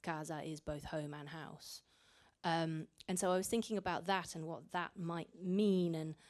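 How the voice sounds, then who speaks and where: A woman's voice: sits low, fairly even intonation, a little slow, a little mellow. A young woman raised in Italy, an author speaking reflectively at a library panel, often repeating words as she thinks. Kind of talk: panel talk. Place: library event room.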